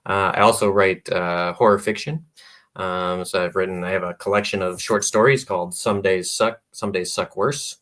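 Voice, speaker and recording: A man, a guest author, talking in a relaxed interview with many pauses and fillers and frequent laughs. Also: sits low. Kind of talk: casual interview conversation. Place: radio or podcast interview.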